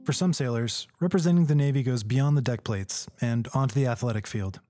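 Male host, brisk scripted read, polished, clear music bed underneath. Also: medium pitch, warm tone. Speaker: news host. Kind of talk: scripted narration.

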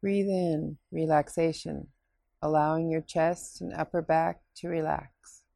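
A woman leading a scripted guided relaxation meditation in a soothing, unhurried, instructing way with pauses. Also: sits low, fairly even intonation, vocal fry, mellow, no echo.

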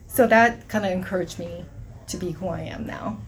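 A woman's voice: fairly low pitch, moderate pace, a little hiss or hum. A middle-aged woman from South Korea, an army hospital deputy commander, earnestly answering interview questions while searching for her words.

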